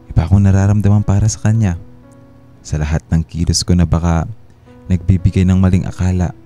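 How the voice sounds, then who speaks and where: An actor playing a remorseful, devoted husband in a Filipino radio drama, soft, sincere and apologetic. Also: male voice, low voice, natural voice. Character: remorseful, devoted husband and father pleading forgiveness.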